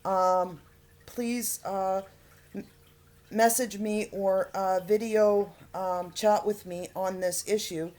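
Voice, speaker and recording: Halting earnest woman making an appeal. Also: medium pitch, steady tone, a little mellow. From Alberta, Canada. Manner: halting, many fillers and pauses; earnest, pleading. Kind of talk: unscripted appeal to camera.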